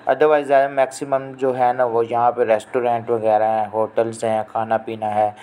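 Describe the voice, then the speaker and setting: A man explaining the shops of a street market in a relaxed, casual way. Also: medium pitch, moderate pace. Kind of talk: casual guide talk. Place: busy street market outdoors at night. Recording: busy crowd noise behind.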